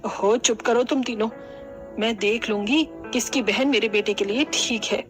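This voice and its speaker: A woman's voice: fairly high pitch, moderate pace, a bit bright, faint music behind, close to an everyday voice. A woman voicing a haughty, scornful middle-aged mother-in-law in a cartoon, proud of being fashionable. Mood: indignant. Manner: scolding, disdainful.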